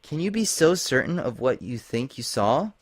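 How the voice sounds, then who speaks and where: Man doing gentle mare, soft, measured, concerned, slightly raised pitch, storybook reading, dry sound. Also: very animated, no echo. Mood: soothing. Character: gentle, concerned female pony soothing a friend.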